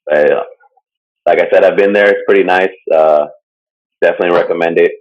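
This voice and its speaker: A man with a very low, deep voice chats loosely about a topic he's presenting, leaning on "like" and "uh" and chuckling often. The recording is dull and lo-fi. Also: steady tone, brisk. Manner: rambling, full of "like" and "uh" fillers, chuckles often. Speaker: podcast co-host presenting a topic. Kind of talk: casual chat. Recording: dull lo-fi sound.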